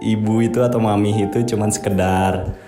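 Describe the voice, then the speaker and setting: A man's voice: lowish voice, fairly even intonation, fairly quick. A man of twenty-one speaking candidly and reflectively in casual interview chat. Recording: echoey room with music behind.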